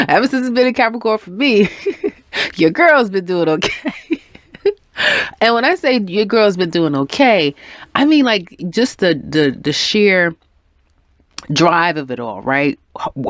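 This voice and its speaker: A woman's voice: low voice, no echo, clean studio sound. A woman with a head cold, her voice going in and out, giving a chatty, encouraging weekly tarot reading. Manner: chatty and encouraging, with frequent ums and little laughs. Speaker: tarot reader.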